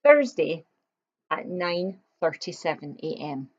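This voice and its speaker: A woman's voice: deep voice, big pitch swings, slow pace, highs cut off. A woman reading a children's picture book aloud, expressively, with chatty explanatory asides to young listeners.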